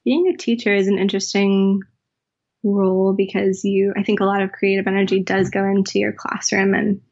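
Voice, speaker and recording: A woman's voice: medium pitch, level tone, moderate pace, darkish tone. A woman writer and teacher from the United States, answering interview questions in a thoughtful, searching way. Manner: thoughtful, searching, with restarts and filler. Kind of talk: reflective conversational interview. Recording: dull lo-fi recording.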